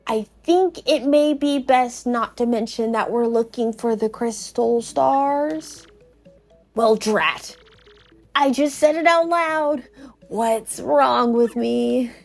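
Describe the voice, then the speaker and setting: A woman's voice: on the high side, slow pace, vocal fry, heavily acted. A woman voicing a nervous, bumbling old male professor in a video game read-aloud, hesitant and self-scolding, with music behind. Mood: worried, flustered.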